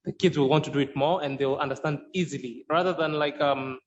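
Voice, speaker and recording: A man's voice: a little high, fairly even intonation, brisk. Earnest man on a lo-fi line. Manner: earnest, searching for words with frequent restarts. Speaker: Swahili course creator and instructor. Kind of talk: podcast interview answers. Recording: dull lo-fi sound with faint noise.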